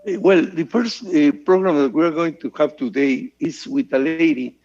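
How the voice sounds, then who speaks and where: A man, a Spanish speaker, moderating a panel with slow, broken English questions. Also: fairly high pitch, darkish tone, dull audio.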